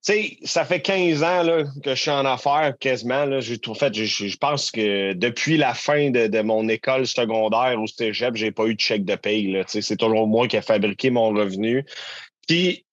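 A man's voice: medium pitch, moderate pace, dry recording. Québécois podcast co-host in his thirties, blunt and emphatic, dry muffled mic. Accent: Québécois French. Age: 30s. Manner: candid, emphatic, slangy. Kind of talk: casual business chat.

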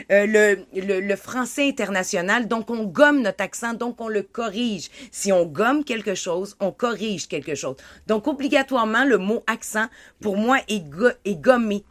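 A woman from southern France, with a mixed southern French and Québécois accent, arguing her point animatedly in a casual game panel. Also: mid-range voice. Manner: animated, talks over others. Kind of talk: casual chat.

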